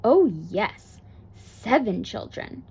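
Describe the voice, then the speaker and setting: A woman's voice: mid-range voice, big pitch swings, drawn-out words, mellow, breathy edge, heavily acted. A woman voicing an imaginative, cheerful little granddaughter from a picture book, speaking earnestly and eagerly.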